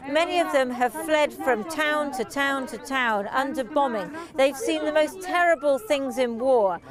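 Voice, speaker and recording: A woman's voice: high-pitched, a little slow. A woman giving news commentary as a correspondent. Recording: crowd noise behind voice.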